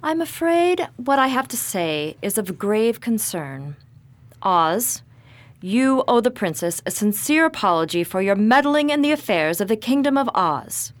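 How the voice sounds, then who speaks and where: A woman's voice: fairly high pitch, big pitch swings, measured pace, no room sound. A woman playing a regal good witch in a radio drama, stern but caring, stately and formal.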